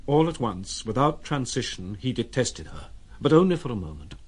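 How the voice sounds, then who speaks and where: An actor as a reflective narrator of a literary audio drama, measured and expressive. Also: male voice, mid-range voice, husky.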